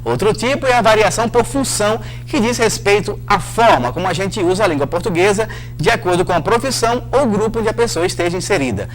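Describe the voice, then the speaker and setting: A man's voice: high-pitched, animated, quick pace, few pauses, a little hiss or hum, rough recording. A man hosting a televised lesson, narrating a script in an upbeat way and talking straight to the viewer. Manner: upbeat, addresses the viewer directly.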